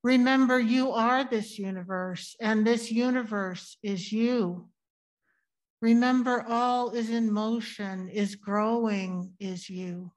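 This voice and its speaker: Woman reading a poem at church, slow and deliberate, leans on key words. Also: a little high, fairly even intonation, rough recording. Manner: deliberate, emphatic recitation. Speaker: reader at a church service. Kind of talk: poetry reading.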